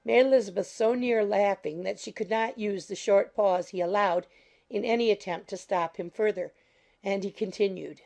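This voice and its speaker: A woman's voice: medium pitch, normal speed, creaky, darkish tone. A woman reading scripted literary narration as an audiobook narrator. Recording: faint music behind the voice.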